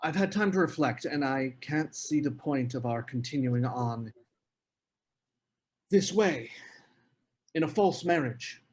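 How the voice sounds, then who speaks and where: A man playing a brooding, bitter novelist in a screenplay table read, with measured, deliberate phrasing. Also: medium pitch, animated, husky. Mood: cool, deliberate. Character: brooding male novelist, cool and bitter in marriage.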